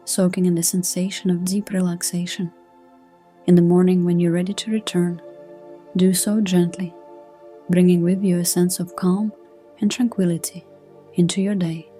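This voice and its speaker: Soft mellow woman doing sleep hypnosis narration, gentle n hushed, calm new-age music behind her. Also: lowish voice, moderate pace. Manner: soothing, gentle, hushed delivery.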